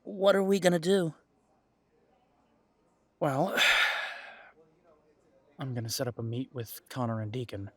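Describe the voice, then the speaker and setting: A man's voice: mid-range voice, expressive, slow pace, pauses often, fairly bright tone, no room sound. A man playing a spirited, cocky young man in a werewolf audio drama. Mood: earnest.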